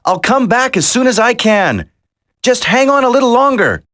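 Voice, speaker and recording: A loyal young commando hero in a video game drama. His earnest, emphatic voice swings widely in pitch and is recorded dry. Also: big pitch swings, moderate pace, a bit bright, dry recording, exaggerated voice. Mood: determined.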